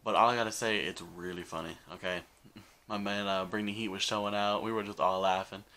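A man's voice: lowish voice, fairly even intonation, brisk, pushed and bright. A man, chatting casually to camera as a vlog host in a bedroom. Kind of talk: casual chat to camera introducing a video. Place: small bedroom, indoors.

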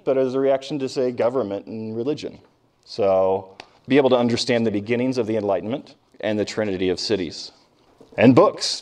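A man's voice: medium pitch, a little mellow. An American man giving an informal history lecture as a professor, conversational and often putting questions to his class.

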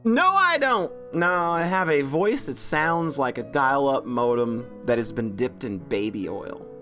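A woman's voice: extremely low pitch, expressive, unhurried, fairly bright tone, faint music behind. A woman streaming a game, chatting with viewers in a self-deprecating joking way. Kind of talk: casual game stream chat.

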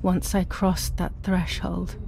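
A haunted young woman narrates her past in a dark game drama. Her voice is dark and low, held to a narrow, numb range. She speaks in a measured, somber, confessional way over music. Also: little pitch movement, vocal fry. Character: traumatized young woman recounting war and asylum, haunted, numb.

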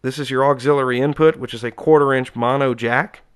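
A man's voice: mid-range voice, fairly even intonation, brisk, smooth. A man giving a casual, unscripted, chatty demonstration of equipment, explaining as he goes with dry enthusiasm. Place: indoors at home, close-up tabletop demonstration.

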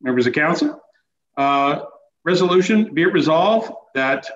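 A man with a somewhat high voice reads out a council resolution and calls for the vote. He is heard over a video call. The room is echoey, with faint background noise and dull, muffled audio. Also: lively intonation, a little slow. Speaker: mayor. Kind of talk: formal motion reading.

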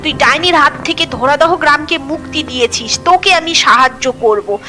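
Woman voicing a high-pitched, kindly holy hermit. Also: moderate pace, sharp tone, faint music behind. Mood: approving, reassuring. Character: kindly male holy hermit who grants help.

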